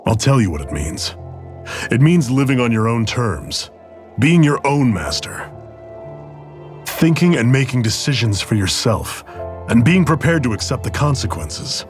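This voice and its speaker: A man voicing a grave, stern male mentor in a video game, measured and lecturing. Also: medium pitch, very animated. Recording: game audio over loud music.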